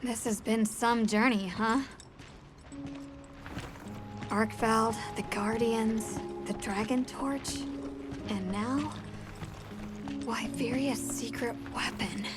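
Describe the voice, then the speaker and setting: A woman's voice: on the high side, fairly even intonation, takes it slowly, long pauses. A woman playing a thoughtful, caring young companion in a video game cutscene. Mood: reflective. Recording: music behind the voice.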